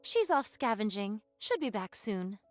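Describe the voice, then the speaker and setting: A woman's voice: mid-range voice, sing-song, unhurried, no echo, faint music behind. A woman voicing a solemn warrior who speaks in formal, old-fashioned phrases, in anime game style. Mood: composed. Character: solemn archaic-speaking android swordswoman turned would-be farmer.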